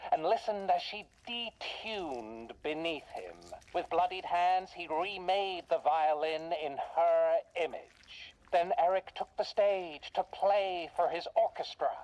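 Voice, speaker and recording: A woman playing a folksy, eerie radio host who narrates macabre horror tales with theatrical pauses in a video game. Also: on the low side, darkish tone, low-quality recording.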